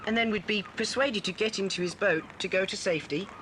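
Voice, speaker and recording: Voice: mid-range voice, fast talker, bright, forceful tone, close to an everyday voice. A performer playing a sensible, reassuring older boy in a children's adventure radio play. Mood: alert, reasoning. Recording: noisy old cassette transfer.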